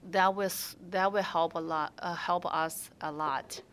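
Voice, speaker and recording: A restaurant owner, a woman whose first language is Mandarin, speaks English with a clear Mandarin accent. She talks slowly, stopping often and restarting her sentences with many fillers. Her voice sits a little low, and a faint rumble runs under the recording. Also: steady tone, fairly bright tone. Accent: Mandarin Chinese. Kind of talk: interview answers.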